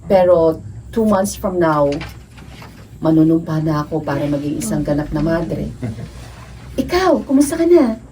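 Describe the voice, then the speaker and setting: A woman with a Filipino accent playing a gentle, devout young novice nun in a radio drama. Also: low voice, expressive, unhurried, long pauses. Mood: warmly surprised. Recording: distant mic, faint background noise.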